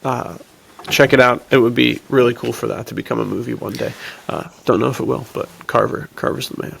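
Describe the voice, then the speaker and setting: Man, podcast host, strong vocal fry, medium pitch, casual chat, slangy, enthusiastic, laid-back. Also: steady tone, brisk, a bit bright. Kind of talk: casual chat about films and books.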